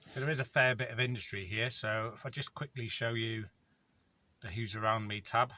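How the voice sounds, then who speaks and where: A man, a van courier chatting through a casual vlog in a rambling, matter-of-fact way with many pauses and ums. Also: mid-range voice, fairly even intonation, pushed and bright, no room sound. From North Wales. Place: in or beside his van on an industrial estate.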